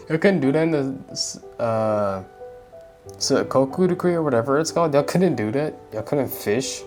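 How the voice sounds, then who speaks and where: A young man with a mid-pitched voice comments casually on a game. His talk is informal and slangy, with faint music behind it. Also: animated, normal speed, a little mellow. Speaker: gaming YouTuber. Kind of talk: casual let's-play commentary.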